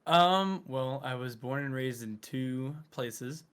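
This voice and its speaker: A man's voice: medium pitch, takes it slowly, fairly bright tone, clean tone. A young man from the northeastern US, a guest on an interview show, talking in an easygoing way with casual slang. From Rhode Island, raised near Philadelphia. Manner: easygoing, casual slang, lists things eagerly. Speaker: guest streamer.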